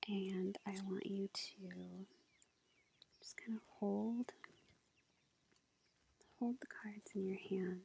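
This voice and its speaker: A woman speaks softly in an intimate ASMR roleplay, often slipping into a breathy whisper. Her voice crackles with heavy fry, and she takes her time, hesitating gently and casually between phrases. The lo-fi recording carries faint background noise. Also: medium pitch, fairly even intonation, slow pace, long pauses. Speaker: ASMR roleplay performer as a tarot-reading witch girlfriend. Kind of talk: soft-spoken, half-whispered ASMR roleplay. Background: faint forest ambience with bird song.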